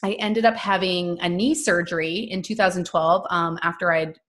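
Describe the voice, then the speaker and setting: A woman's voice: on the low side, fairly even intonation, moderate pace, a bit bright. A woman from Canada, a business coach, telling her story as a podcast guest in a chatty, upbeat, rambling way. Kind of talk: casual interview storytelling.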